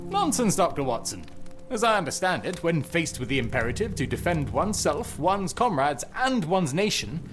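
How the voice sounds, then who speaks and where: A man's voice: on the high side, sing-song, normal speed, music in the background. A man with a British accent playing a pompous, condescending government official in formal, scornful style for a video game. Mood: haughty, scornful. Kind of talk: scripted video game cutscene acting.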